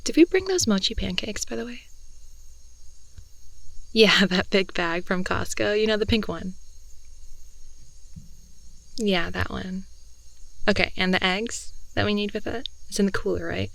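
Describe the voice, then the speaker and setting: A young woman playing a talkative, affectionate, playful girlfriend in an improvised ASMR roleplay, rambling softly and often slipping toward a whisper, close to the microphone. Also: mid-range voice, measured pace, gravelly, pauses often, no echo. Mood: cozy, doting. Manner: rambling, giggly, with doting asides. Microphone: close headphone-oriented ASMR microphone.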